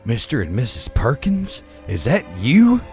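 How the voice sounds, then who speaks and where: A man's voice: mid-range voice, huge pitch swings, measured pace, a little mellow, faint music behind. A man playing an earnest, concerned homesteader father in an improvised western radio play. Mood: friendly.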